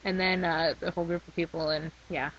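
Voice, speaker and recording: A young woman rambling in a casual video diary, her voice worn from a week of shouting. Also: lowish voice, little pitch movement, brisk. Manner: chatty and rambling. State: losing her voice. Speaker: vlogger. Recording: slightly dull lo-fi recording.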